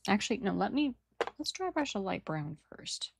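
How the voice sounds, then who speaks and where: A woman with a raspy morning voice chatting casually and rambling while she paints a model at her desk, on a new microphone without filters. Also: medium pitch, big pitch swings, moderate pace, slight background noise. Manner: rambling, apologetic, trails off mid-sentence. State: early-morning raspiness. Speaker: hobby painter and channel host. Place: home desk setup.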